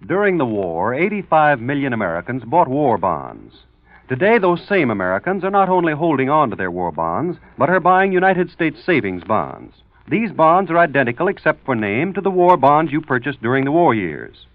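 Muffled old radio, guy announcer with lively swoopy delivery, scripted 1940s appeal. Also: medium pitch, moderate pace, dry recording. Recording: muffled dry vintage radio recording.

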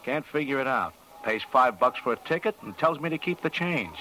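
A man's voice: medium pitch, expressive, fairly quick, bright, forceful tone. An actor playing a sly, breezy carnival man in an old-time radio crime drama, offhand and slangy. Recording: faintly noisy vintage radio recording.

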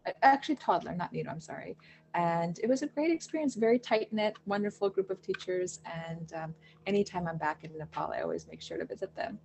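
A woman, an education director, answering interview questions in a relaxed storytelling way, with fillers and restarts. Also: on the low side, brisk, gravelly, slight background noise. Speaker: Montessori education director, guest.

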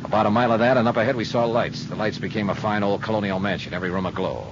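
An actor playing a world-weary, hard-boiled investigator, terse and wry, in 1950s radio noir. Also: male voice, fairly low pitch, racing, noisy background, natural voice. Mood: brooding, wry. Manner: terse, dry, wry tough-guy delivery. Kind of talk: 1950s radio noir acting and first-person narration. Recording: muffled 1950s radio recording with music.

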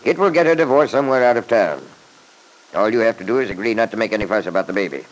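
A man playing a wealthy, stubborn older father from an old-time radio drama. He speaks in an imperious, blustering way, his pitch swinging widely as he lays down the law. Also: animated, fast, natural voice, rough recording. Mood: businesslike.

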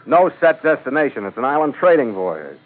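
A man's voice: medium pitch, big pitch swings, a little fast. An American man playing a weary, sardonic ship master in a 1940s radio adventure, with dry, clipped, hard-boiled delivery. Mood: irritated, sardonic. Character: weary, sardonic male ship master narrating his voyage log. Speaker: narrator and lead actor. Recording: muffled vintage radio broadcast, loud music.

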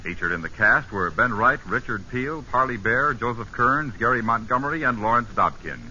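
Man, announcer, formal scripted delivery, sharp tone, faint hiss, old radio broadcast. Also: mid-range voice, expressive, moderate pace. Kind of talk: 1940s-50s radio announcing, scripted credits. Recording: old hissy, muffled radio broadcast recording.

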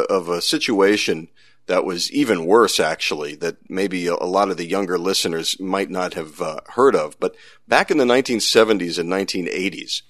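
A man's voice: sits low, a bit bright, dry recording. An American man hosting a science podcast in a conversational, wry way with dry humor. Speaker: podcast host.

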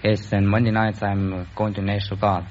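A man's voice: on the low side, flat delivery, fairly quick, over a noisy crowd. A young man from Germany, giving brief, matter-of-fact, slightly hesitant answers as a quiz contestant. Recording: noisy, dull vintage radio recording.

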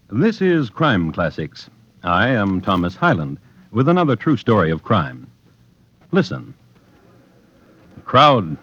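A man's voice: fairly low pitch, slight background noise. Wry male radio narrator, widely varied intonation. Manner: deliberate, dry, wry storytelling. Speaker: host and narrator. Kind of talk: 1950s radio true-crime narration. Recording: muffled vintage radio, music behind.

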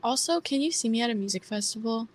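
A young woman with a soft voice, hosting a casual podcast chat in a shy, hesitant way that often trails off. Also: on the high side, lively intonation, fast talker. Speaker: podcast host.

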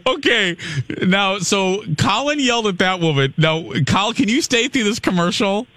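A man co-hosting a morning radio show, telling a story in a lively, chatty way with laughs, close on a studio microphone. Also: high-pitched, moderate pace, dry recording.

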